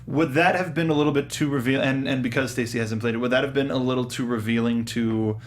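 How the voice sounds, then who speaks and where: Male panel show host, average pitch, conversational, plainspoken and measured. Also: steady tone. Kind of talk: casual panel discussion.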